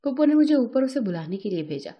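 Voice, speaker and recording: A woman reads an emotional first-person story as its narrator. Her voice sits fairly high, yet has a very mellow, warm tone. The delivery is measured and dramatic, in the manner of scripted storytelling. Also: sing-song.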